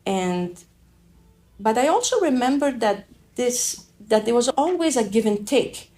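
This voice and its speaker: A middle-aged woman from Afghanistan with a French accent, telling her own story in a reflective, halting way with many restarts. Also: a little high, sing-song, warm tone. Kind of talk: reflective personal testimony.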